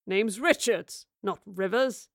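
A woman's voice: mid-range voice, slow pace, vocal fry, dry recording, clean studio sound. A woman voicing a malicious, impatient man in an audio drama, with a sarcastic, condescending drawl. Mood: brusque, mocking. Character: malicious, impatient, deep-voiced man, smug villain. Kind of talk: audio drama villain acting.

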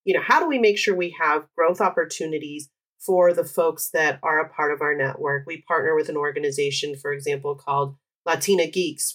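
Low-voiced woman explaining her work. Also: a bit bright. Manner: measured, explanatory, uses many examples. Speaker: employee resource group site lead. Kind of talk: podcast interview guest.

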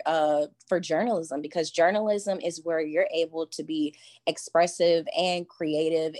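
Earnest young woman, low and dry. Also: no echo. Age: young adult. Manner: earnest, measured, repeats herself to stress points. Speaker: podcast host. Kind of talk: podcast introduction.

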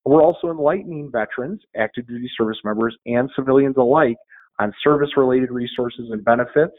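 A man talks as a guest in a casual, upbeat way, stumbling over repeated words as he plugs his links. His voice sits at a middle pitch and comes through a narrow, phone-like band. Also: fast. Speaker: podcast guest. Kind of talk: casual promotional interview talk. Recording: phone-like band-limited audio.

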